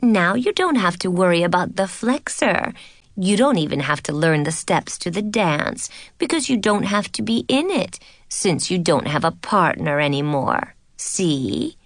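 A woman's voice: lowish voice, very animated, a little slow, no room sound, clean studio sound. A woman voicing a smug, scheming young schoolgirl princess who speaks in a sweetly self-satisfied way.

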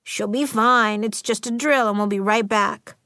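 A woman voicing a brisk, dismissive female substitute teacher in an audiobook, airily brushing off worries. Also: on the high side, fairly even intonation, bright, forceful tone, no echo.

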